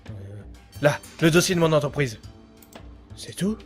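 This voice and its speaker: A man's voice: fairly high pitch, normal speed, close to an everyday voice. A man playing an anxious, guilty amateur intruder in a French audio drama, muttering to himself and stammering when threatened. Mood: searching, confused. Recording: music behind the voice.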